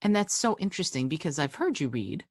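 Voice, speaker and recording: A woman in her fifties hosts a podcast interview with a low voice that swoops up and down expressively. She is encouraging and talkative and often restarts her phrases. The sound is dry and close to the mic. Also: very animated, quick pace, no echo. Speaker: podcast host, voiceover actor. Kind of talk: conversational interview.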